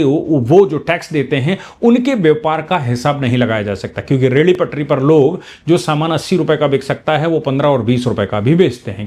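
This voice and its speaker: A man speaks as a legal commentator, in a voice pitched slightly higher than most men. He explains current affairs in a teaching style, stressing his points firmly and often repeating a phrase to make it land. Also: a little mellow. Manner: emphatic, repeats phrases for emphasis.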